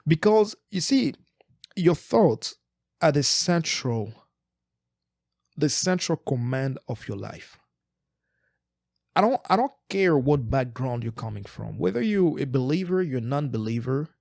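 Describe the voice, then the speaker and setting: A man's voice: medium pitch, very animated, unhurried, vocal fry, long pauses, darkish tone, dry recording. Earnest man from Eastern Congo, dry sound. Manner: earnest, frequent word repetitions and restarts. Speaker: podcast co-host. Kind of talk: reflective casual chat.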